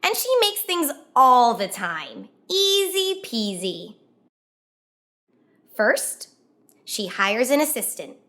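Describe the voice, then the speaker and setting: A woman reading a children's picture book aloud as a storyteller, expressively and with drawn-out emphasis on key words. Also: a little high, huge pitch swings, bright, forceful tone. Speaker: children's read-aloud host.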